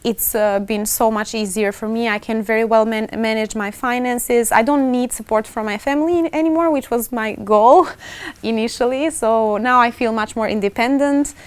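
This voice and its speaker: A young woman from Albania speaks in a fairly high, smooth voice with an Albanian accent. She gives casual interview answers as a student, in a very dry recording. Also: normal speed, no echo. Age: 20s.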